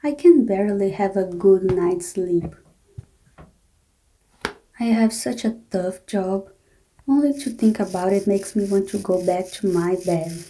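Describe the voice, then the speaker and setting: A young woman voicing an unhappy office worker, speaking a complaining inner monologue in a weary, flat way. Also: medium pitch, natural voice, poor audio. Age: young adult. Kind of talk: scripted inner-monologue narration.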